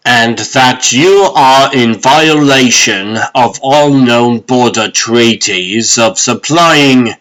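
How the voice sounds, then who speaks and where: A man playing a stern sheriff judge in a comic dub, formal, commanding and deliberate. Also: mid-range voice, a bit bright, poor audio. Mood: accusing. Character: stern, authoritative sheriff judge reading out charges. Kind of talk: comic dub character acting.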